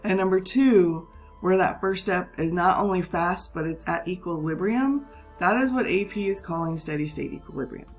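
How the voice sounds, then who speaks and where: A woman teaching a chemistry lesson, a little hoarse from a cold, talking students patiently through the material step by step. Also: fairly low pitch, moderate pace, faint music behind. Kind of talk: recorded classroom lesson.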